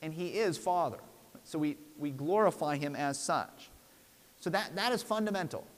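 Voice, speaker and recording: A man in his thirties preaching a sermon from a church pulpit in an earnest, emphatic, deliberate way. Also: on the high side. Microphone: lapel mic. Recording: long hall reverb.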